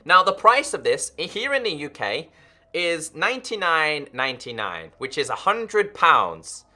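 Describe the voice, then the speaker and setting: Young man, twenties, gaming youtuber, earnest direct-to-camera talk, sharp bright tone. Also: on the high side, very animated, a little slow. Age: 20s. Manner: earnest, addresses viewers directly.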